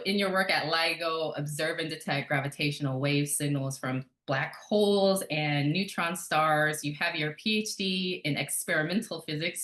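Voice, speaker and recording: A woman hosting a conversational podcast interview, introducing things in a measured, deliberate way. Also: fairly low pitch, fairly bright tone, reverberant. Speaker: podcast host.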